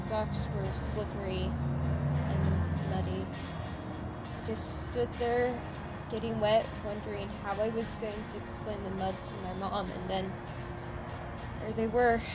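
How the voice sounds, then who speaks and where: A woman's voice: mid-range voice, lightly acted, poor audio. A teenage female student actor playing a bitter, wistful bullied girl in a one-act play. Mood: haunted, recounting. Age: teens. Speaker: youth theatre student actor. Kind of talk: staged one-act play dialogue and monologue.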